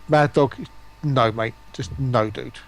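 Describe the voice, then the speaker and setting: A man with a mid-pitched voice hosting an informal stream. His delivery is conversational and unscripted, like chat among friends. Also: extremely slow, gravelly. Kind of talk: casual livestream chat.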